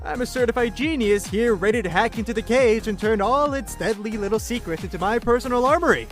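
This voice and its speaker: A man's voice: very high-pitched, fairly quick, faint music behind. A man playing a boastful hacker convict of about thirty in a sci-fi audio drama, cocky and showy. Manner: showy, self-amused, cocky. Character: brash, boastful thirty-year-old male hacker convict.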